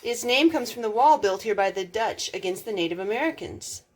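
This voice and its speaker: A woman reading a picture book aloud as a storytime host, expressive, drawing out words for emphasis. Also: medium pitch, a little fast. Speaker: storytime reader.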